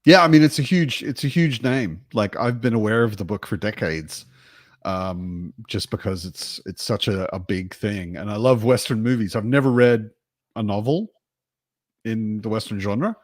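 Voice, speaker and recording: Male, lower register, dry close sound. Reflective, slightly hesitant, informal book talk. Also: moderate pace, no echo. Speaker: book discussion participant. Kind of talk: casual book discussion.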